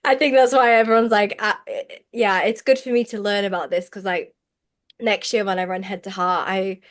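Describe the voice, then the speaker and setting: A woman's voice: mid-range voice, steady tone, moderate pace. A woman hosting an online session, talking in a chatty, rambling way full of likes. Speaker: program host, facilitator. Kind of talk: casual video call chat. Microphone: video call.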